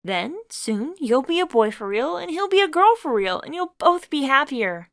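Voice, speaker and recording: A woman voicing a bubbly, pushy young woman friend, talking upbeat and eager in a raised, bright voice. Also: sits high, big pitch swings, moderate pace, no room sound, clean studio sound, voice pushed up, heavily acted.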